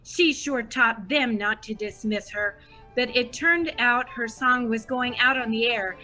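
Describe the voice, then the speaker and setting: A woman's voice: a little high, unhurried, pushed and bright, soft background music, rough recording. A woman playing a devoted, gushing superfan who narrates a radio drama in a grandly earnest way. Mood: earnest, excited.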